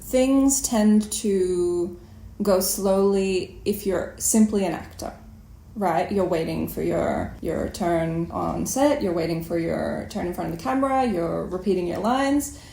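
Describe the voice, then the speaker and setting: A woman hosting a conversational arts interview, attentive and measured, with deliberate phrasing. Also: lowish voice, creaky, dark tone. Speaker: interview host. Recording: roomy, echoing sound.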